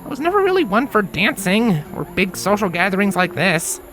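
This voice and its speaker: A woman's voice: medium pitch, very animated, brisk, a bit bright, poor audio. A woman voicing an awkward, nervous, bookish male pony in a dramatised fan fiction reading, hesitant, with music behind. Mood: flustered.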